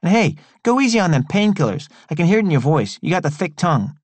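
A man's voice: a little high, very animated, no echo, pitched above the performer's own voice. Man putting on a brash, cussing young guy. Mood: excitable. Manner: profane, rambling, loud and quick. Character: brash foul-mouthed younger man, excitable.

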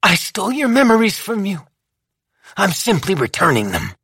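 A fiery young woman, all nerve and bite, swearing as she fights, as an audiobook reader puts her on. The voice sits high and husky, close and dry, pleading hard and pushing fast. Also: wildly swooping pitch, a bit bright, dry recording, exaggerated voice. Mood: earnest. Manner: raised pitch for the character, urgent and pleading. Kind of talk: audiobook character voice.

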